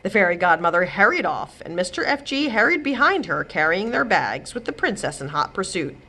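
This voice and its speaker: Female read-aloud narrator for children's chapter books, lively and expressive, medium pitch. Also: brisk.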